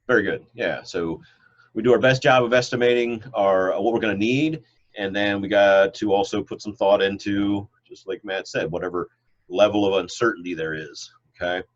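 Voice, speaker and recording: A man's voice: on the low side, moderate pace. A middle-aged man who says he is congested, teaching a biology class over a video call in a loose, rambling way with many fillers. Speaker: biology professor. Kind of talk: online class lecture with questions. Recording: dull lo-fi video call audio.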